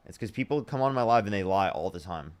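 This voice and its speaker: Fast-talking young man hosting a debate stream. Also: low voice, animated, smooth. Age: young adult. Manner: rapid-fire, repeats screening questions, blunt. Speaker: livestream debate host. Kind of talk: live-stream debate and guest screening.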